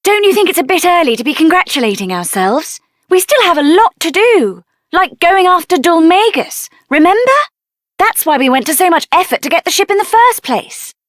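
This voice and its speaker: Game heroine: young female mage, high and lively voice, assertive, sassy, dry close recording. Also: moderate pace, dry recording. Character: spirited, headstrong young female mage adventurer. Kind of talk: video game character voice acting.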